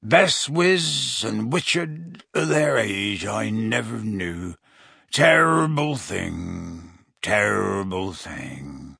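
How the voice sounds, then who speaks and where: A man voicing a huge, drunk, weeping half-giant man who slurs his words slowly. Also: fairly low pitch, animated, gravelly, bright, forceful tone, slightly rough, no echo, studio quality, exaggerated voice. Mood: drunk, mournful. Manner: slurred, slow, mumbling.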